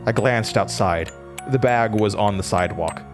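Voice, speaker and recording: A man's voice: fairly low pitch, moderate pace, natural voice. A man playing a shaken young college student, narrating a horror audio drama in a dramatic, haunted way that stammers under stress. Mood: horrified. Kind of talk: horror audio drama first-person narration, acting out dialogue. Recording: music bed under the voice. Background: music.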